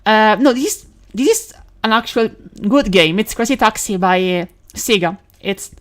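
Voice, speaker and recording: A woman's voice: medium pitch, lively intonation. Woman chatting on a game stream. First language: Italian. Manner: rambling, with many fillers, restarts and quick laughs. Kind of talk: casual live-stream chat while gaming.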